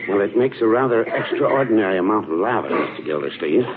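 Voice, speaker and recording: An actor playing a mild, dithering, nosy druggist in a 1940s radio comedy. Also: male voice, lowish voice, animated, fast, a crowd in the background, close to an everyday voice. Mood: dry, hesitant. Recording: narrow-band 1940s radio recording, faint noise.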